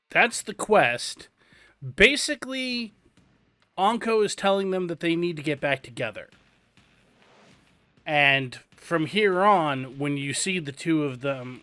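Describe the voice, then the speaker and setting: A male host giving a casual walkthrough commentary on a video game, explaining each step in a relaxed, drawn-out way. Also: on the high side, no room sound.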